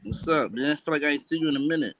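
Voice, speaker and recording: A man's voice: fairly high pitch, very fast, fairly bright tone. A man co-hosting a casual wrestling talk show, easygoing and slangy. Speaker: co-host.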